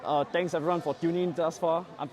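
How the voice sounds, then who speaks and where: Hoarse high-voiced man vlogging. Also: quick pace. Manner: excitable, rambling with fillers. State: losing his voice, hoarse. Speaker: football vlogger. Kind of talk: casual post-match vlog. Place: outside a football stadium. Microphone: handheld phone with clip mic.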